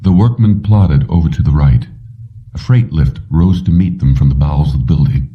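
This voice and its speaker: A man with a very low voice narrates an audiobook in measured, dramatic phrases. The recording is slightly muffled and sounds a little away from the mic. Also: far from the mic. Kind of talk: scripted narration of a suspense story.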